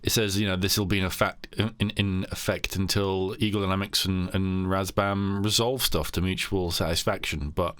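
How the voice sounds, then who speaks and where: A man's voice: low-pitched, flat delivery, moderate pace, few pauses, fairly bright tone, clear, resonant tone, no room sound. A man hosting a casual podcast talk, rambling with many fillers and restarts. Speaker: podcast host.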